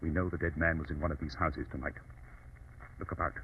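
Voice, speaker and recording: A man's voice: very deep voice, steady tone, fast, breathy edge, heavily acted. A man playing a keen, commanding English detective, precise and imperious, in an old radio drama. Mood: calm, watchful. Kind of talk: 1940s radio drama acting. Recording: dull, noisy old radio recording.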